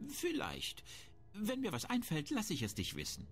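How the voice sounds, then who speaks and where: A man's voice: sits high, wildly swooping pitch, normal speed, full character voice. A man playing a shrewd, grumbling, self-important town merchant in a fantasy video game. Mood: businesslike. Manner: haggling, disdainful, self-important. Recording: music behind the voice.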